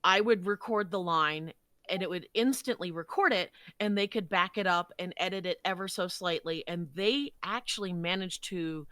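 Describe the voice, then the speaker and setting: A woman with a low-pitched, bright voice talks casually about her work as a podcast guest. The sound is close and dry, with very little room in it. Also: moderate pace, dry recording. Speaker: guest voice actor.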